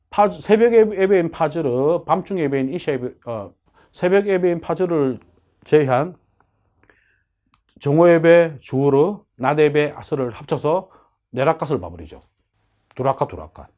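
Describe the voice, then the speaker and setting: A man's voice: fairly high pitch, dark tone. A man giving an explanatory religious lecture as a teacher, often posing questions and answering them himself. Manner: didactic, asks and answers own questions.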